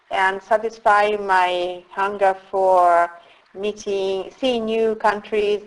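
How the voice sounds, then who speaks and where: A middle-aged woman speaks as an interview guest, her pitch sitting in a middle range. She talks at a very slow, unhurried pace. She pauses often as she reflects on her story. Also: low-quality recording. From Italy. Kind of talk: casual interview.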